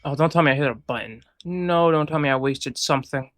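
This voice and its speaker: A man's voice: a little high, fairly quick, fairly bright tone, dry recording. A man giving casual, reactive gameplay commentary, talking to himself as he plays. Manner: reactive, swearing, talks to himself. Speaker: video game streamer. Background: game music.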